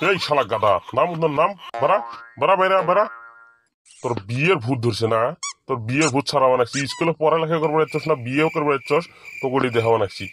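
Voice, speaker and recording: A man's voice: a little high, quick pace, a bit bright, a little hiss or hum, close to an everyday voice. A man playing a stern, exasperated village schoolmaster in a comedy cartoon, with a scolding, hectoring manner. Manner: scolding, hectoring, mixing English words into Bengali.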